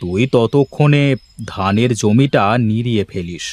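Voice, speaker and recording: Man doing a low-toned, bossy village landowner. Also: animated, measured pace, a little mellow, dry recording. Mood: instructing. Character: bossy, evasive village landowner, male employer. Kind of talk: Bengali moral-story cartoon voice acting.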